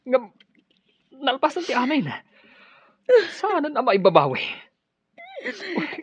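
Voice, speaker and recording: A man's voice: very high-pitched, big pitch swings, slow, breathy edge, no room sound, full character voice. A man acting in a radio drama as a shifty, flustered office schemer, stammering and evasive. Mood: agitated, regretful. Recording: dull muffled radio drama recording.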